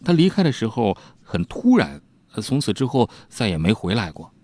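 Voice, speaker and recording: A man playing an older village father, plain-spoken, hesitant, lifted pitch with drawn-out swings, storybook narration. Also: big pitch swings, a little mellow, no echo. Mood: thoughtful, recollecting.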